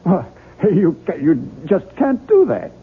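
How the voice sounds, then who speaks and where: An actor playing a persuasive, avuncular book publisher in a 1970s radio drama, raspy and theatrical, coaxing with emphasis. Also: male voice, high voice, wildly swooping pitch, slow, a little hiss or hum, exaggerated voice. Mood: emphatic, pleading. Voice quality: raspy, gravelly. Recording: muffled, narrow-band vintage radio recording.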